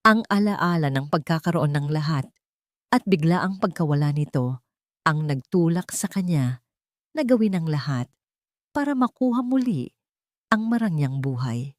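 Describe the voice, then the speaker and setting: A woman's voice: sits low, lively intonation, moderate pace, long pauses, dry recording, studio quality. A woman narrating a scripted dramatic audio story.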